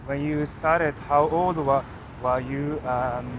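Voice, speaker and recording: An interviewer strolls outdoors, asking questions in halting, slightly broken phrasing at a middling pitch. Traffic and street sounds are clearly heard around him. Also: fairly even intonation. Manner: halting, non-native phrasing.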